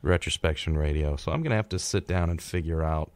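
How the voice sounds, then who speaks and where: A young American man co-hosting a college radio show in casual chat, with halting phrasing and repeated words. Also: a bass voice, a little mellow, no room sound. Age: young adult.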